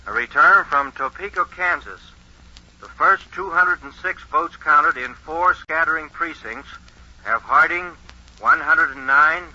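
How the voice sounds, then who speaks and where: Earnest vintage radio announcer, sharp and raspy. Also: male voice, on the high side, exaggerated voice. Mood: brisk, businesslike. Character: earnest 1920 radio announcer reading election returns. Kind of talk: 1940s radio drama acting, newsreader delivery. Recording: muffled vintage 1940s radio recording.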